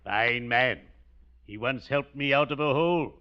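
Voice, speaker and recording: An actor playing a gloomily jovial, genteel undertaker with a formal, drawn-out delivery in 1940s radio comedy style. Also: male voice, mid-range voice, lightly acted. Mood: macabre cheer. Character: genteel, gloomily jovial small-town undertaker, a family friend. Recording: dull, noisy vintage 1940s radio recording.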